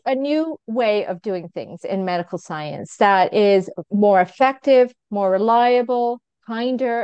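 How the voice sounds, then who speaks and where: A woman's voice: a little high, a little mellow, smooth, dry recording, highs cut off. A middle-aged woman with an American accent, a physician being interviewed, speaking earnestly with frequent fillers and easy laughter. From England. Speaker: guest, physician. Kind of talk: conversational interview answer.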